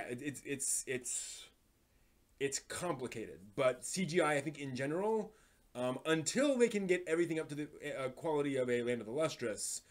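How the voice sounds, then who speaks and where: A man hosting a casual live stream about anime, thinking aloud and rambling off on tangents as he chats with viewers. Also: on the high side.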